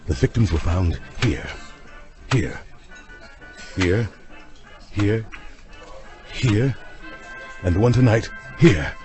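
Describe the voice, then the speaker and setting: An actor playing an obsessed vampire-hunting doctor in an audio drama, grave and urgent with melodramatic delivery. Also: male voice, lowish voice, lively intonation, slow, long pauses, over music. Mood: intent, explaining. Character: obsessed intense male vampire hunter doctor.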